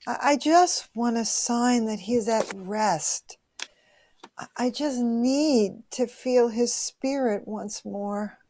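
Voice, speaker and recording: Woman acting young bereaved sister from 1920, earnest and yearning, unhurried radio drama delivery, dry close sound. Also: fairly high pitch, warm tone, no room sound. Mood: pained longing.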